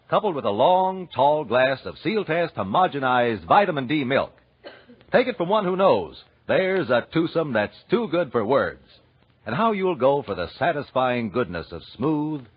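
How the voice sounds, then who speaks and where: Male announcer, 1940s radio. Slightly high, husky voice, polished and emphatic sponsor delivery, muffled vintage sound. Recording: dull 1940s radio recording.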